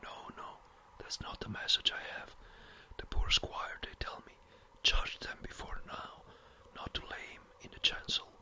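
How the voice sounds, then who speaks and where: Brief: fussy elderly country parson, clipped and hurried, whispered by the narrator. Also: bright, forceful tone, low-quality recording. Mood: brisk. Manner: brisk, a little impatient. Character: fretful elderly village rector, a man.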